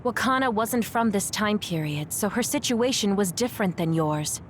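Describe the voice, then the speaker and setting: A woman's voice: mid-range voice, animated, moderate pace, slight background noise, close to an everyday voice. A woman playing a composed, analytical young soldier in a video game, controlled and matter-of-fact.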